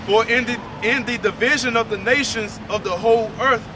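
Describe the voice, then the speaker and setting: A man reading scripture aloud at a measured pace during street preaching. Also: very high voice. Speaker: scripture reader. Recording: distant outdoor street recording with traffic.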